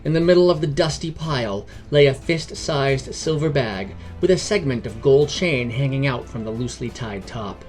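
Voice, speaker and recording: A man narrates a scripted fantasy adventure as podcast host. His voice sits at a middle pitch. Quiet music runs behind the storytelling. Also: expressive, normal speed, few pauses. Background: background music.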